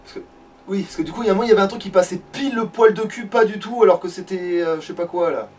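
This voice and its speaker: A man's voice: sits high, racing, a little mellow. A man talks casually through a game he is playing. He rambles, breaks off and swears freely. The mic sounds distant, with faint noise behind. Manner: crude, swears freely, rambling and self-interrupting. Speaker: let's play gamer at the controls. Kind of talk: casual gaming commentary.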